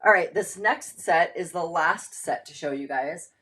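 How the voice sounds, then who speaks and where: A woman with a slightly low voice talks in a chatty, emphatic, informal way, like a host of a live clothing try-on. Her voice is going a little from a lingering cold, and her delivery stays casual and conversational. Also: unhurried, a bit bright.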